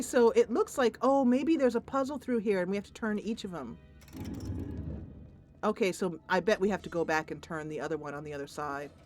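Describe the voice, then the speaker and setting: A woman's voice: on the low side, fairly bright tone. A woman playing a fantasy roleplaying game, thinking aloud with enthusiasm and narrating the story dramatically. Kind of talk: gameplay commentary mixed with dramatic narration.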